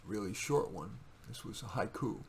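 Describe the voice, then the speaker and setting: A man's voice: fairly low pitch, lively intonation, normal speed, gravelly, a little hiss or hum. A man reading his own poetry, theatrical and emphatic in the verse, with casual asides in between. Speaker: poet reading own work.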